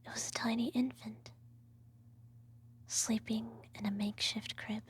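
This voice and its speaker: A woman playing an evil empress and doting adoptive mother, telling a bedtime story in a breathy ASMR whisper with formal, measured diction. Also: fairly high pitch, flat delivery, natural voice. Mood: hushed, solemn storytelling.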